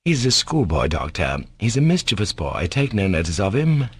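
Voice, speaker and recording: A man voicing an earnest young peacemaker in a storybook, speaking quickly and firmly with some impatience. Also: lowish voice, sing-song, breathy edge. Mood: impatient. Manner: quick, peremptory.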